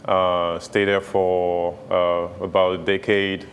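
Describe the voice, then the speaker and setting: A man's voice: low-pitched, monotone, takes it slowly, long reverb tail, slight background noise. A man from France with a French accent, a chef guest on a morning TV interview, speaking hesitantly with many fillers.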